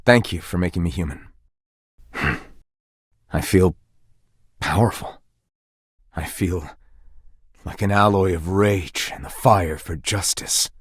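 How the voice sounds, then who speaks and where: An actor voicing a living candelabra in a video game, a self-confessed fraud who talks bluntly and casually, with sulky resignation and a flirtatious streak. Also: male voice, sits low, slow, long pauses, breathy edge, no echo, studio quality, full character voice. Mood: brooding, grandiose. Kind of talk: video game character dialogue.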